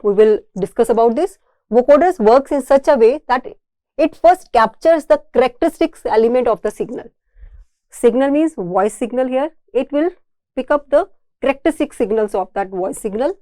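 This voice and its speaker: A woman's voice: a little high, warm tone, dry recording. A woman with an Indian accent giving an explanatory technical lecture, repeating and rephrasing her points in a didactic way. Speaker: engineering lecturer.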